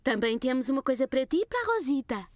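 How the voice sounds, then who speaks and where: An actress playing a kind young mother in a cartoon dub, speaking softly with a European Portuguese accent. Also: high-pitched, sing-song, rapid-fire, very noisy. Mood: warm. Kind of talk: cartoon dubbing.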